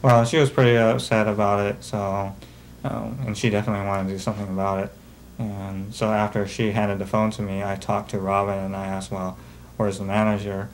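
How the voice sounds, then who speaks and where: Low, flat-voiced man recounting casually. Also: brisk, low-quality recording. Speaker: documentary interviewee. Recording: faint noise, amateur video interview.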